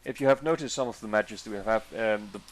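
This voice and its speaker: A man's voice: on the low side, fast, slight background noise. Hesitant, chatty Danish man. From Denmark. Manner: chatty, hesitant, many restarts. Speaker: card game player commentating. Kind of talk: casual commentary over match footage.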